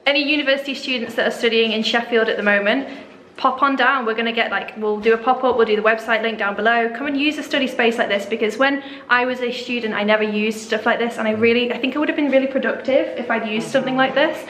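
A woman in her twenties vlogging about her teacher training, talking loudly and brightly to a handheld camera. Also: a little high, fairly even intonation, quick pace, slight background noise. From England. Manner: loud, bubbly and upbeat. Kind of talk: casual vlog chat. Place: indoor office and city street. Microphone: handheld camera at arm's length.

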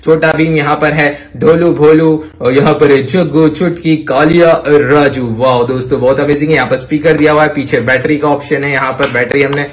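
A young adult man with an Indian accent presenting toys to children in an excited, exclamatory, emphatic way. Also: fairly high pitch, brisk, few pauses. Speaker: toy review channel host. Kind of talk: excited toy showcase commentary for children.

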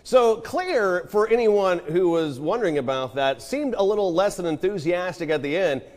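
A man's voice: sits high, animated, normal speed, darkish tone. Playful man in a home kitchen. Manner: playful, chatty, joking asides. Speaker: news anchor hosting a home cooking segment. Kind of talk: upbeat home cooking demo. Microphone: wide camera on the counter.

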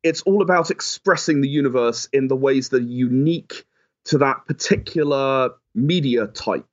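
A man giving conversational, explanatory interview answers, often softening his points with "sort of". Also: medium pitch, normal speed, lo-fi sound. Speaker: game designer and writer, interview guest.

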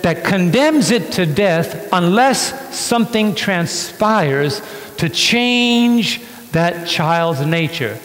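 High-voiced male pastor preaching in reverberant hall. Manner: emphatic, stretching key words, questioning listeners. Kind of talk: sermon with rhetorical questions to congregation. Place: church hall, on stage. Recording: reverberant church hall sound.

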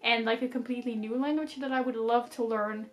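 A woman's voice: on the high side, steady tone, fairly quick. A young woman in her twenties with a Dutch accent, chatting casually to the camera about books while she does her makeup, laughing often. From Netherlands or Flanders. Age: 20s. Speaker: book vlogger. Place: small decorated bedroom, indoors.

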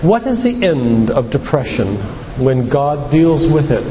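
An older man giving an earnest, deliberate sermon-like talk with stories and read-out quotations. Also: medium pitch, big pitch swings, warm tone. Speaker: physician and lay preacher giving a church talk. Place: church hall, live talk to congregation. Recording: reverberant church hall, background noise.

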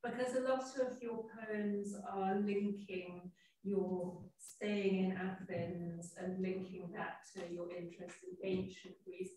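A woman's voice: mid-range voice, a little mellow. Courteous woman, echoey and distant. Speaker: audience member asking a question. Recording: echoey hall, distant mic, faint noise.

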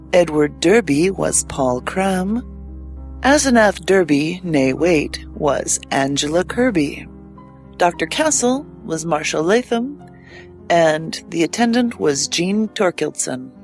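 Low-voiced woman announcing credits. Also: a little mellow. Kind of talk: scripted closing narration for horror show.

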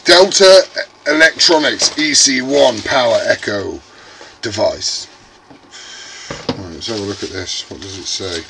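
Slow-talking British man, very noisy recording. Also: on the low side, vocal fry. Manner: sweary, jokey, muttering to himself while handling items. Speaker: video host unboxing CB radio gear. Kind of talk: casual unscripted vlog chat. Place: small indoor radio workshop. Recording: noisy room, loud background noise, clicks.

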